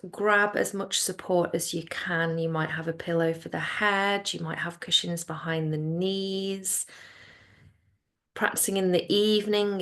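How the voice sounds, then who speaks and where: A woman leading a guided relaxation as a yoga nidra teacher, speaking in a soothing, unhurried and gentle way. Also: fairly low pitch, gravelly.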